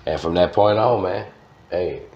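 Deep-voiced Louisiana man in an echoey room. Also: a little slow. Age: middle-aged. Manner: slangy, repeats words and phrases. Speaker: guest, veteran radio DJ. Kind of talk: casual interview chat. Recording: dull, roomy studio sound.

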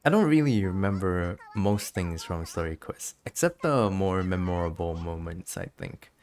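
A man with a fairly low voice talks casually as he streams a game. He is chatty and giggly, commenting in an informal, conversational way. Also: lively intonation, moderate pace, a little mellow, a little hiss or hum.

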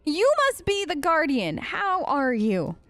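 A woman gives casual role-play commentary as a let's play host. Her voice is a little high and her delivery wry and hesitant, recorded close and dry. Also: sing-song, slow, a bit bright, dry recording. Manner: wry, hesitant, talks in character.

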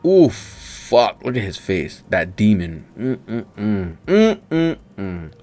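A man's voice: on the low side, extremely slow. A young American man giving casual, slangy, profane live commentary as he reads along. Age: young adult. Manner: animated, slangy, profane reactions. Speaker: streamer reacting to a manga. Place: home studio room with streaming setup. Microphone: desk microphone close by.

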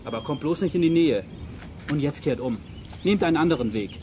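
A man playing a gruff, bossy quarry worker. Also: fairly high pitch, lively intonation, fairly quick, a little mellow, slight background noise, lightly acted. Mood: gruffly warning. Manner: curt, commanding. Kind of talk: German children's audio drama acting.

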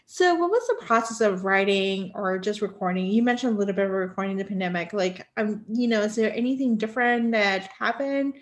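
A woman's voice: medium pitch, a little fast, hardly any pauses. A woman hosting a podcast, interviewing her guests in a casual, chatty style.

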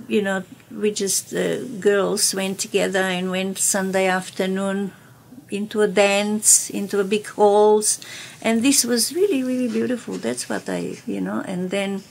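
An older woman with a Slovenian accent, a native Slovenian speaker, reminiscing in an interview in a small radio studio, with halting phrasing and many fillers. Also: mid-range voice, gravelly, a little hiss or hum. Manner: slow, halting speech with many fillers and restarts, gestures with hands. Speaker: interviewee, community radio broadcaster.

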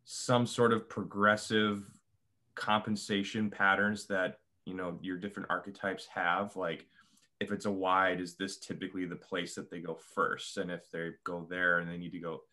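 Deep, bright-voiced man asking. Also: level tone, moderate pace. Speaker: call participant asking a question. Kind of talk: casual technical questioning. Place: indoors on a group video call.

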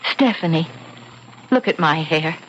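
An actress playing an eerie, yearning motherly woman in a radio drama, coaxing gently and deliberately. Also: deep voice, expressive. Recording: muffled old radio recording, faint noise.

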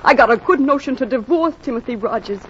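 An excitable young bride in an old radio play. Her high voice swoops melodramatically as she exclaims, on a muffled vintage recording. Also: quick pace, a little hiss or hum. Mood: awed, gushing. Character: excitable, starstruck young bride listening to a baseball yarn. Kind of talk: 1940s radio drama acting.

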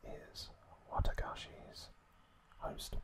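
Whispering man, slow ASMR delivery. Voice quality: breathy unvoiced whisper. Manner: slow, hushed, halting with long pauses. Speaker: ASMR host. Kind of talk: whispered ASMR episode recap.

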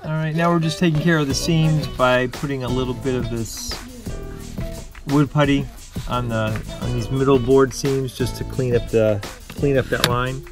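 A man's voice: mid-range voice, normal speed. Young male DIY host, easygoing and practical, talking through the steps on camera with background music behind him. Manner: relaxed, practical, explains step by step with small restarts. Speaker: DIY home improvement host. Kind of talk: casual how-to narration while working. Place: outdoors on a driveway.

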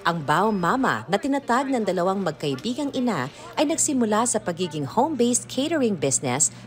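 A woman with a Filipino accent reading scripted news narration as a reporter. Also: mid-range voice. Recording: music playing behind the voice. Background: background music under voice-over.

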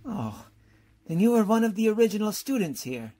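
Casting: actor as genial bumbling academic, swooping intonation, polite and pompous, radio comedy. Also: male voice, high-pitched, big pitch swings, moderate pace, dark tone, breathy edge, heavily acted. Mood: genial, courtly. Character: courtly, bumbling, genial middle-aged male college professor. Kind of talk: old-time radio comedy acting.

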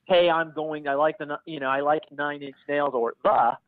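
A man as a podcast guest on a thin call line, giving thoughtful, measured analysis with hesitations. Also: on the high side, steady tone, dry recording, phone-quality sound. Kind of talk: analytical discussion.

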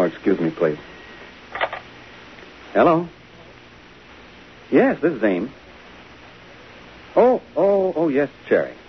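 A man's voice: fairly high pitch, exaggerated voice. A flustered movie assistant director guy, old radio drama, muffled n hissy. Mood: shocked. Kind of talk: 1950s radio drama acting.

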